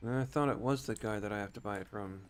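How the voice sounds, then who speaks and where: A man's voice: fairly low pitch, fast talker, noisy background. A man giving casual video game commentary as a streamer, rambling and musing to himself.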